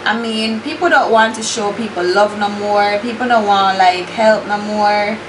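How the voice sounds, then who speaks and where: A woman's voice: medium pitch, a little slow. Blunt Jamaican woman, echoey room. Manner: blunt and exasperated, swears freely, slips into patois. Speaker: beauty YouTuber. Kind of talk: casual chat while doing makeup. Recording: echoey room with steady background hiss.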